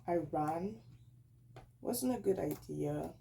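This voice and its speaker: Slow-talking young woman with a raspy voice. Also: fairly low pitch, animated, dark tone, slight background noise. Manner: rambling, self-correcting, chatty. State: tired, slightly hoarse. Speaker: snack reviewer vlogger. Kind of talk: casual vlog, taste-testing snacks. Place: at home, indoors.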